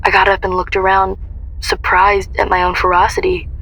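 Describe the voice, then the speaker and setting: A woman's voice: medium pitch, monotone, moderate pace, gravelly, heavy background noise, lightly acted. A woman playing a young heroine who recounts her ordeal in intense first-person dramatic narration. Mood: tense intense.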